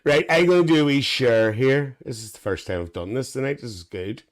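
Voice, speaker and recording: A man's voice: medium pitch, brisk, natural voice. A man doing a bawdy aunty. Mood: gossipy. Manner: bawdy, gossipy, calls viewers dear, laughs a lot. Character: gossipy older woman, a brash chatty aunty. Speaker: livestream host. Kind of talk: live-stream comic chat reading comments.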